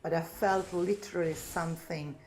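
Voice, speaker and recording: A woman in her fifties with a Serbian accent, calling in to a livestream and earnestly telling her story in halting, non-native English. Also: on the low side, fairly even intonation, darkish tone, a little hiss or hum, poor audio. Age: 50s. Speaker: caller. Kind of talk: livestream call-in debate. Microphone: remote call audio.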